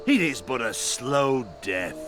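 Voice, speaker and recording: An actor playing an impassioned rebel leader in a fantasy game, speaking grandly and deliberately like a practised orator. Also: male voice, medium pitch, sing-song, over music. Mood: rousing, defiant. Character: impassioned male rebel leader, rousing orator, world-weary mentor to soldiers. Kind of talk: theatrical fantasy game acting.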